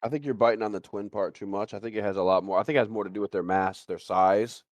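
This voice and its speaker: A man co-hosting a casual livestream, talking in a halting way and repeating phrases as he thinks aloud. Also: on the low side, steady tone, no echo, clean studio sound. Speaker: co-host of a live show.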